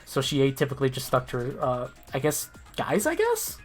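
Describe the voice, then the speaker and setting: A man's voice: mid-range voice, wildly swooping pitch, a little fast. A male game streamer talks through his thoughts casually with friends. He rambles and swears freely, with faint music behind him. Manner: sweary, rambling thinking aloud. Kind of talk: casual gaming chat with friends.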